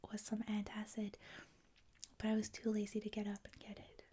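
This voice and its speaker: A woman with a Canadian accent, a native French speaker, hosting a livestream in a hushed, whispery ASMR style, chatting casually with viewers. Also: mid-range voice, monotone, brisk, husky. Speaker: livestream host. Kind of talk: soft-spoken ASMR, casual chat with viewers.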